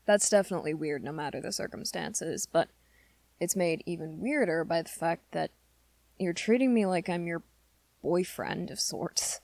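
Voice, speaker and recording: A woman plays an awkward teenage werewolf boy with a low voice full of gravelly creak. He talks haltingly and earnestly, close to the listener as in an ASMR roleplay. His short temper is held back in favour of gentleness, and the sound is dry and intimate. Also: no echo. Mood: bashful, unsure. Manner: hesitant, halting, rambling confession to listener.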